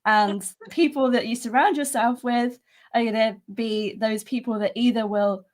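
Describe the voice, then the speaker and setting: Upbeat woman with a higher voice. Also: moderate pace. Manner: encouraging, upbeat, giggly. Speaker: host. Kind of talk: casual interview chat.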